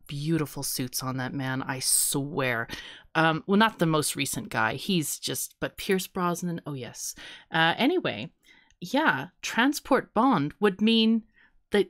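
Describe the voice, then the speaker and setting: A woman's voice: low-pitched, fairly bright tone. A woman co-hosting a podcast in casual, playful chat, laughing often and guessing aloud. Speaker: podcast co-host.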